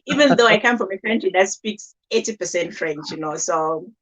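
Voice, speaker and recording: A woman's voice: mid-range voice, brisk, fairly bright tone, rough recording. A woman from Cameroon, an author and speaker, answering as a guest on a live-stream show in a friendly, easygoing way. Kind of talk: interview guest.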